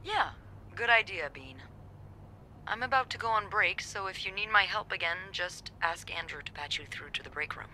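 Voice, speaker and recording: An actress playing a bold, wry, mischievous hotel employee in a narrative game. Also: female voice, mid-range voice, lively intonation, moderate pace, pushed and bright, a little hiss or hum. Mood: playful, conspiratorial. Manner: wry, brazen, teasing.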